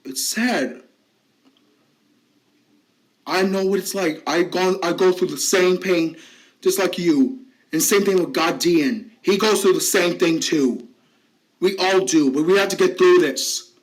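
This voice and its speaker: A man playing a gentle friend who speaks softly and soothingly, hesitating with small fillers. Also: high voice, measured pace, pauses often, pitched above the performer's own voice, low-quality recording. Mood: consoling. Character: gentle supportive male friend. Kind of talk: amateur drama acting.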